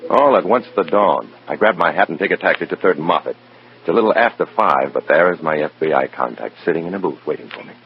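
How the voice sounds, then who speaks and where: A very low male voice as a cornered undercover agent in a vintage radio thriller. He is terse and urgent, swinging widely in pitch. The recording is dull and noisy. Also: lively intonation, fast talker. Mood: bleak, brooding. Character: tense, cornered undercover operative posing as another man. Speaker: lead actor and narrator. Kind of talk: old-time radio drama acting, noir first-person narration.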